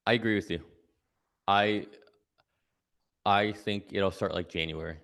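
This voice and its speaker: A man's voice: fairly low pitch, fairly even intonation, unhurried, fairly bright tone. A man giving casual conversational analysis as a guest commentator, explaining step by step and checking in with "right?". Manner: explanatory, tags points with "right?".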